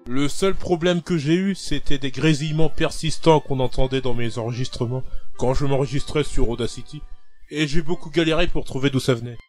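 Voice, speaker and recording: Calm matter-of-fact man. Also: mid-range voice, fairly quick, soft background music. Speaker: fandub voice actor. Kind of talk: casual recorded answer.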